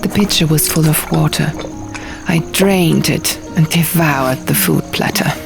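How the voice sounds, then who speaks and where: A woman reading a first-person fantasy audiobook in a measured, dramatic storytelling manner. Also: sits low, creaky. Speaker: audiobook narrator. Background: music and sound effects.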